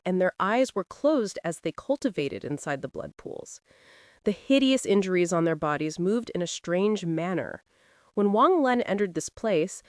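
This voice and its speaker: Female audiobook narrator for epic fantasy, lively intonation, dramatic delivery, dry studio-style sound. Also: mid-range voice, no room sound.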